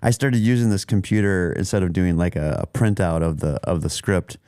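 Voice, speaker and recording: Podcast host, man, very low and resonant, loose rambling delivery, dry close mic. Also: fairly quick, warm tone, smooth, no echo. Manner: rambling, many restarts and fillers. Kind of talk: casual chat. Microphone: studio mic.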